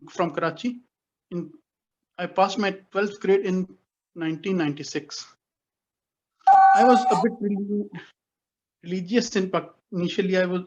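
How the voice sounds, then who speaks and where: A man in his forties from Pakistan telling his life story on a video call, speaking haltingly with many restarts and self-corrections. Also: high-pitched, muffled recording. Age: 40s. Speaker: guest speaker. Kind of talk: personal life story talk.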